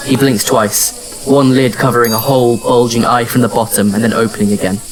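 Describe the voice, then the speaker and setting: A man narrating a scripted audio drama in the first person, with measured, serious storytelling. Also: mid-range voice, little pitch movement, poor audio. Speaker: narrator and lead actor.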